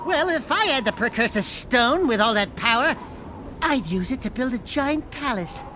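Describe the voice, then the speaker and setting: High-pitched, mouthy cartoon animal sidekick. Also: male voice, lively intonation, moderate pace, sharp tone, a little hiss or hum, heavily acted. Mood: brash, comic. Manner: mouthy, sarcastic. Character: cocky, wisecracking male talking furry animal sidekick. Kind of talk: animated video game acting.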